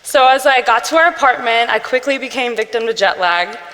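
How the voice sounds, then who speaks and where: A young woman giving an earnest personal storytelling speech, measured, with pauses between phrases. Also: fairly high pitch, a bit bright. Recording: long reverb from a hall-like room.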